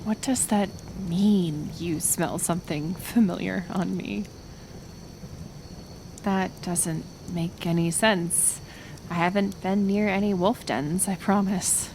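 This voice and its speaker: A woman playing a kind, flustered, curious healer in an intimate ASMR roleplay, speaking softly and hesitantly. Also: lowish voice, steady tone, slight background noise. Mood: gentle, puzzled. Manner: halting, soft, hesitant delivery. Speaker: roleplay audio performer.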